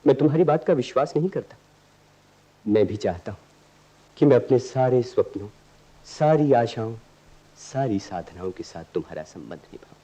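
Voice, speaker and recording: A man's voice: mid-range voice, animated, moderate pace, long pauses, mellow, natural voice. An actor playing an earnest, idealistic young man in a radio play, speaking in lofty, poetic, declamatory lines. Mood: ardent, romantic. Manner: lofty, declamatory, literary diction. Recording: dull archival radio recording.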